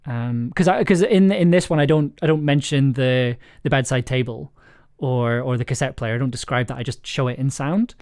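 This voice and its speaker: A stream host with a mid-pitched voice talks casually to viewers. The delivery rambles and halts, often doubling back over the same words before moving on. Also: moderate pace, darkish tone. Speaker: stream host and audio drama writer. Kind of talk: casual live stream chat.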